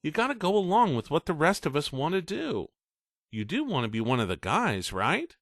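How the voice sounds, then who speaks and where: A man's voice: a little high, sing-song, normal speed, a bit bright, no echo, pitched above the performer's own voice, exaggerated voice. A protective twelve-year-old big brother who talks his little brother round, as a man puts him on. The voice sits well above a man's usual range and moves a lot. The recording is close and dry. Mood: coaxing. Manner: coaxing, earnest.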